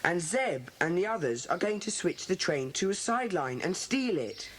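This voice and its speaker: A woman playing a bossy, earnest schoolboy who leads a children's club, brisk and commanding in an audiobook dramatisation. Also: fairly low pitch, big pitch swings. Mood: earnest, decisive. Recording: hissy old cassette dramatisation.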